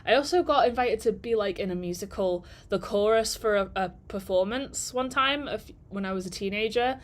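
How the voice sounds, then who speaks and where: A woman's voice: medium pitch, normal speed. A woman in her twenties, chatting and telling stories on a live work stream, rambling and laughing between thoughts. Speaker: digital marketer vlogger. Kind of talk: casual storytelling during a live work stream.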